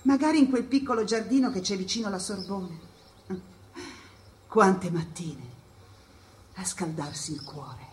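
A woman acting a solitary, haunted spirit in a theatrical radio monologue, incantatory and repeating phrases as the delivery swings between hush and cries. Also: mid-range voice, measured pace, long pauses, slight background noise, lightly acted. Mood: wistful, hushed. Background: live jazz percussion and music.

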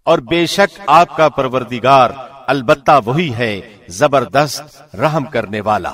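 Solemn mid-pitched man reading scripture translation. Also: a bit bright. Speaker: translation narrator.

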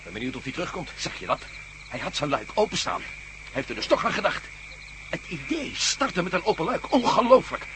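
An actor playing an excitable, urgent scientist hero in a radio play. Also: male voice, on the high side, fairly quick, noisy background. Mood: thoughtful. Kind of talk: 1970s radio drama acting.

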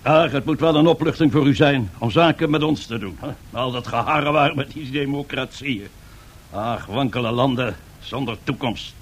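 An actor playing a pompous, boastful Italian fascist dictator in a radio drama, husky and given to grandiose speechmaking. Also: male voice, mid-range voice, normal speed. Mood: self-satisfied. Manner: grandiose, self-satisfied, rhetorical speechmaking. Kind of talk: 1970s radio drama acting.

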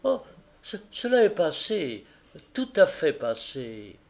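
A man reading aloud and voicing a gentle young wife who stammers and falters in a storybook. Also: fairly high pitch, wildly swooping pitch, slow pace, gravelly, exaggerated voice. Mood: shaken.

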